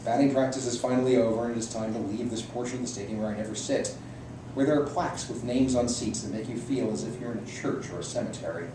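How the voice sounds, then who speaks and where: A man's voice: medium pitch, quick pace. Man reading aloud in a very echoey room. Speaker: reader at a library book reading. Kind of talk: reading a personal essay aloud to an audience. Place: indoor room at a public library event. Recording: echoey room, distant mic, clear noise.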